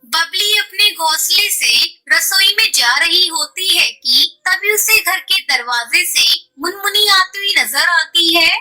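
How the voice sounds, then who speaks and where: A woman's voice: extremely high pitch, lively intonation, takes it slowly, pushed and bright, rough recording. A woman narrating a scripted children's cartoon story as the storyteller.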